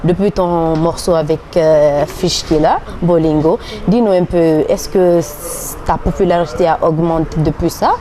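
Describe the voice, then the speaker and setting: Woman interviewer, lowish voice, teasing questions, traffic noise. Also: fairly even intonation, normal speed, hardly any pauses, warm tone. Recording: outdoor recording, traffic noise behind.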